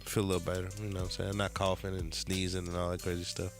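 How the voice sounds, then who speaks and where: A man's voice: sits low, completely flat delivery, racing, soft background music. A man co-hosting a casual podcast chat, laid-back and slangy, his voice still a little nasal from a cold. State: slightly nasal after a cold. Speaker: podcast co-host.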